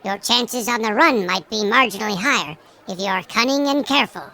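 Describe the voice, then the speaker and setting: A woman's voice: mid-range voice, sharp tone. A woman playing a sage, grandfatherly elder mayor of a small folk, speaking formally and eloquently in a fantasy audio drama. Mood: grave, measured. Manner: formal, measured, eloquent speech.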